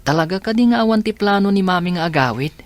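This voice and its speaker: A troubled teenage schoolgirl, voiced by a woman in a radio drama, speaking softly and hesitantly. Her voice sits fairly low for a girl. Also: expressive, fast talker, dry recording, lightly acted. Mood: earnest. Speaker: lead character. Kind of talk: Ilocano radio drama acting.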